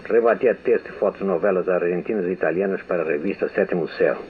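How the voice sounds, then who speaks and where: Older male radio veteran, measured reflective delivery; thin phone-like archival sound. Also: sits low. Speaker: radio writer giving a testimony. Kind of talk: memoir reading. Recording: tinny lo-fi archival audio with hiss.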